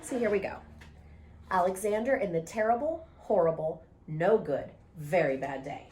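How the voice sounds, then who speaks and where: A woman reading a children's story aloud in an animated, expressive way, drawing out words for emphasis. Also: on the low side, moderate pace, a little hiss or hum. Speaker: teacher reading a picture book aloud.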